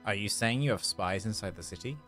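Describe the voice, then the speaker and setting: Low voiced guy streaming a game, reads the dialogue choices casually, music behind. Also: a little fast, bright, forceful tone. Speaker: game livestreamer.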